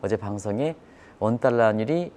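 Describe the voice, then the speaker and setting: A man's voice: fairly low pitch, lively intonation, normal speed, a little mellow, a little hiss or hum. A man giving live financial news commentary, explaining step by step, repeating key terms and putting rhetorical questions to viewers. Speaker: economic commentator.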